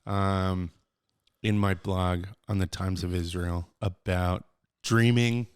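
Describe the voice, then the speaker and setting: An American man hosts a podcast, working his way through long questions in a searching, rambling way. His voice is smooth and fairly low. The sound is dry and close. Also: steady tone, slow pace, no echo. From United States. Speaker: podcast host. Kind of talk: interview questions.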